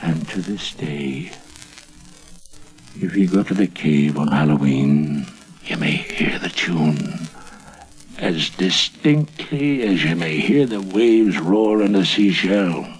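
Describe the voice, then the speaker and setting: A man with a husky voice telling a ghostly folk tale in a theatrical, suspenseful storyteller's manner. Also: low-pitched, expressive, full character voice, rough recording. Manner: theatrical, drawn-out suspenseful phrasing.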